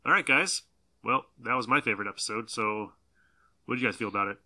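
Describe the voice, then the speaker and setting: A man's voice: mid-range voice, fast, bright, forceful tone. A man hosting a podcast in a casual, chatty group conversation. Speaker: podcast host.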